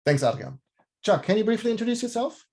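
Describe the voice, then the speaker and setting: A man's voice: sits high, sing-song, quick pace, darkish tone. Man moderating a video call. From Germany. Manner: clear, orderly moderator. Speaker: host, moderator. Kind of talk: scripted introduction and moderating.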